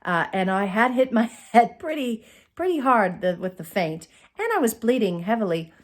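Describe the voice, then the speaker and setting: Animated, high-pitched woman storyteller. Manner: theatrical, expressive, with dramatic pauses. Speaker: storyteller, channel host. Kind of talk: personal comedic storytelling to camera. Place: indoors, small curtained room. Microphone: phone at arm's length.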